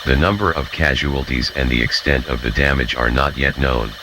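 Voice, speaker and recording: A man reading a scripted emergency alert as an announcer, with loud noise behind him. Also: extremely low pitch, normal speed.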